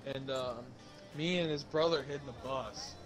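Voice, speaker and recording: A young man casually telling stories to friends while gaming, slangy and animated as he acts out the dialogue. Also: medium pitch, normal speed, music in the background. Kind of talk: casual storytelling among friends during online game.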